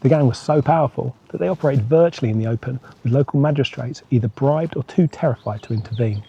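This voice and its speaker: A man's voice: medium pitch, fast talker, vocal fry, muffled recording, slight background noise. A man hosting a motorcycle travel vlog, narrating local history and legends in a storytelling style.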